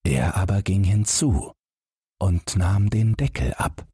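A man's voice: sits low, animated, takes it slowly, dark tone, slightly rough, no room sound, studio quality. A man narrating a fairy tale as a scripted bedtime audiobook.

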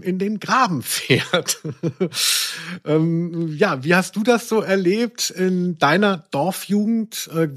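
Male podcast host, middle-aged, slightly high voice, reflective and rambling chat about audio dramas. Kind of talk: casual discussion of radio plays.

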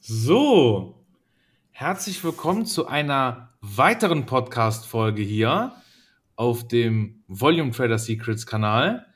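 A man's voice: medium pitch, sing-song, slow, husky. A man hosting a podcast in casual chat, playful and teasing, laughing often. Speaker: podcast host.

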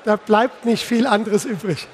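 A man's voice: high-pitched, moderate pace. A man in his sixties from the Palatinate in Germany, talking casually as an interview guest on stage. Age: 60s. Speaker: interview guest, insurance executive. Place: live stage at a trade fair. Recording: faint background noise, live event.

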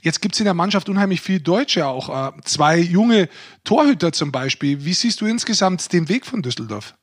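A man with a notably high voice, captured close and dry with little room sound. He co-hosts a sports podcast and puts his interview questions in a casual, conversational way. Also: animated, normal speed, husky, dry recording. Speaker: podcast co-host.

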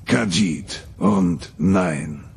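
A man voicing a scheming cat-man father in a comedy sketch, speaking in a dry, gravelly deadpan. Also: sits low, extremely slow, faint music behind, heavily acted. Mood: matter-of-fact.

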